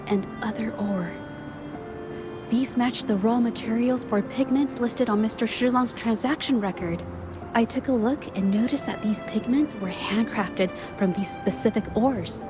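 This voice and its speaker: A woman playing a polite, thoughtful young female investigator in a video game, speaking in a measured, gentle, analytical way. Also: a little high, close to an everyday voice. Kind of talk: video game story voice acting. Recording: music under the voice.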